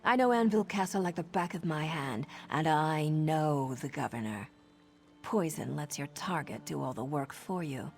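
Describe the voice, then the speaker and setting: A woman's voice: low-pitched, animated, moderate pace, creaky, darkish tone, soft background music. A woman playing a confident female assassin informant in a video game, with a sardonic, conspiratorial manner. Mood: sly, confident. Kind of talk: video game character dialogue.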